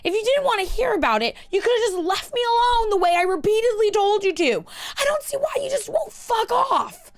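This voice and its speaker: A woman voicing a distraught, drunk young witch in a story reading, with shrill, emotional outbursts. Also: lively intonation, a little slow. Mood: furious. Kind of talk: reading a fan story aloud.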